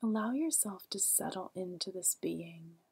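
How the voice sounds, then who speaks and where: A woman leading a guided meditation in a calm, gentle, soothing way, with a soft, breathy voice and long pauses. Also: fairly low pitch.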